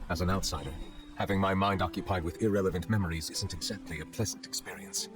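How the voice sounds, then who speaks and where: A man playing a solemn, reserved chief justice, a dragon in human form, speaking formally and deliberately in a video game. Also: low voice, fairly even intonation, music in the background, lightly acted. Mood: grave, reflective. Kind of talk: video game dialogue acting.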